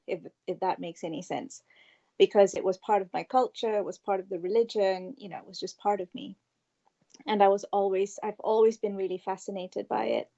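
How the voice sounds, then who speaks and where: A woman's voice: mid-range voice, warm tone, lo-fi sound. A woman from Malaysia, a past life regression therapist, telling her story as a guest in a friendly, thoughtful way. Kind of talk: interview storytelling.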